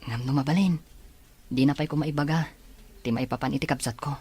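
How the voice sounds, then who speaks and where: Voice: medium pitch, fairly even intonation, brisk, mellow, slightly rough, natural voice. An actor with an Ilocano accent playing an earnest grown child searching for a lost twin in a radio drama. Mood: earnest, grateful.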